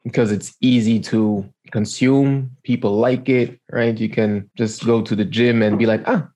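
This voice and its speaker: An interviewer asking questions in a relaxed, informal, encouraging way. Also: medium pitch, normal speed. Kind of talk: casual interview.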